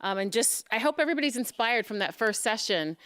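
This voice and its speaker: A woman's voice: fairly high pitch, animated, fairly quick, a bit bright, clear, resonant tone, no room sound. A woman announcing the next session at a forum, hesitant and repeating words at times. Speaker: event organizer announcing sessions. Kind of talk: event announcement. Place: conference event hall.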